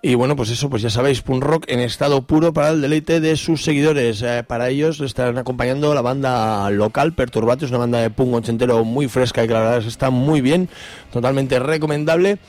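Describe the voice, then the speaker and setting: A man's voice: mid-range voice, fairly quick, a little mellow, no room sound. A man with a Spanish accent from Spain co-hosting a radio show, giving concert listings in an informal, chatty, colloquial way. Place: radio studio. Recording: music playing behind.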